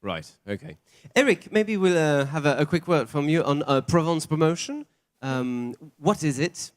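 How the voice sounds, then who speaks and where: A man's voice: a little high, animated, normal speed. A man interviewing guests at a trade show, asking short questions and giving brief acknowledgements.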